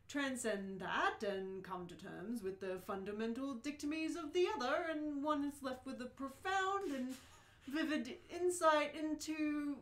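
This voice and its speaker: A woman's voice: on the high side, reverberant, natural voice. A woman reading the part of an earnest, nervous prisoner in a radio play.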